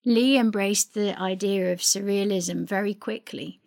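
A woman gives an informative talk in the style of an art history presentation. Her medium-pitched voice has a marked creak, with fry running through the phrases. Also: steady tone, a little slow, darkish tone. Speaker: speaker presenting a family archive.

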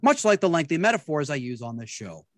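A man's voice: on the high side, quick pace, no room sound, studio quality. A man hosting a talk show, delivering a scripted comedic monologue with wry timing. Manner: wry, lively delivery with laughs.

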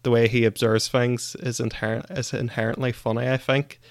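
A man's voice: mid-range voice, little pitch movement, moderate pace, no room sound, clean studio sound. A man co-hosting a podcast, giving his opinions in a casual book discussion.

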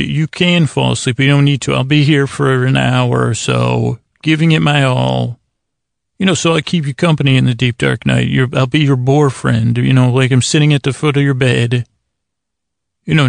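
A male podcast host with a creaky, mid-pitched voice talks in a casual bedtime ramble. His intonation stays fairly flat as he wanders, stammers and circles back on his own phrases. He is self-deprecating, and the sound is close and dry. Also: no room sound. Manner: meandering, halting, stammering and repeating himself.

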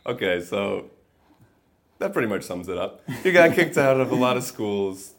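A man's voice: on the low side, big pitch swings, normal speed. A man hosting a podcast, eagerly prompting his guest in a casual interview and laughing often. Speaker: podcast host.

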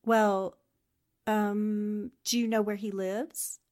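A woman's voice: medium pitch, level tone, slow, a little mellow, close to an everyday voice. A woman playing a determined, eager newspaperwoman in the style of 1940s radio drama. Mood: bright, polite. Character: determined, eager newspaperwoman investigating a haunted house.

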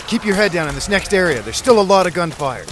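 A man acts out a protective survivor in a zombie audio drama, a little high in pitch. He gives orders urgently. Clear ambient noise runs behind him. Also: sing-song, fast. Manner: urgent, commanding. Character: gruff protective male zombie-apocalypse survivor escorting a stray.

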